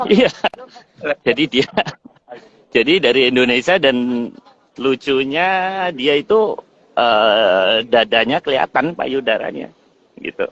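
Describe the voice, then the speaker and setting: Relaxed man on a lo-fi call. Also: mid-range voice, slow pace, pauses often. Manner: relaxed, easygoing storytelling. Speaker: guest joining a call. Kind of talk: casual video call chat. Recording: dull lo-fi call audio.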